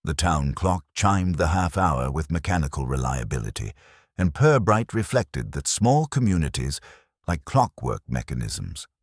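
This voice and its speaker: A man reading a scripted mystery story as narrator, in a measured, formal, literary manner. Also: extremely low pitch, dry recording, clean studio sound.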